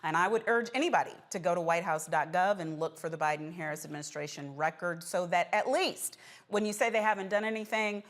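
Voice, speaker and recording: A woman's voice: lowish voice, fast talker. American woman hosting political news talk. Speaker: news commentary show host. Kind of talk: political panel discussion.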